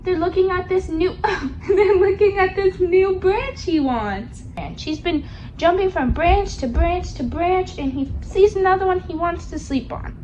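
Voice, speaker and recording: A woman reads a picture book to a young child in a high, sing-song voice. She takes her time and keeps coaxing the listener with simple questions. There is slight background noise behind her. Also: a little slow, darkish tone, dull audio. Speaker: storybook reader for a young child.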